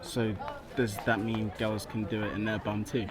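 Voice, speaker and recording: An actor playing a bossy but supportive teenage friend in a naturalistic radio play, speaking in a casual, blunt, teasing way. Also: male voice, on the low side, little pitch movement, normal speed, music in the background, a crowd in the background, lightly acted.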